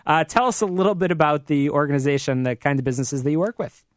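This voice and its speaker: High-pitched American male radio host. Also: lively intonation, fast talker, dry recording, studio quality. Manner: upbeat, promotional. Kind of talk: live business talk radio. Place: radio studio.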